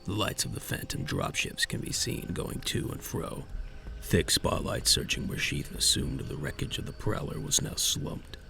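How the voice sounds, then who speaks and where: Extremely deep, gravelly male narrator. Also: sing-song, moderate pace, bright, forceful tone, slight background noise. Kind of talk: scripted dramatic narration. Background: music.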